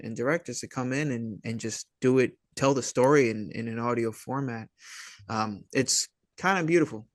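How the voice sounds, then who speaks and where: A man giving casual interview answers as a guest, rambling and halting in an easygoing, dude-like way. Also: mid-range voice, no echo, clean studio sound. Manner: rambling, halting, laid-back dude talk. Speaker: interview guest, indie filmmaker and writer.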